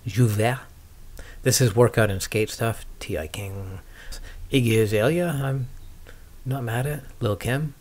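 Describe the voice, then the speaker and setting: A man's voice: mid-range voice, measured pace, pauses often, a little mellow. A man hosting a skating podcast, chatting casually and ramblingly with dry, wry humour as he answers viewer questions.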